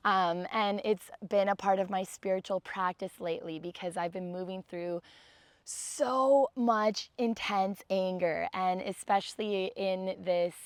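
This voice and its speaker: Raspy-voiced woman yoga teacher. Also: mid-range voice, a little slow, no echo. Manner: calm, gentle guidance with soft sighs. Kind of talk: guided yoga class.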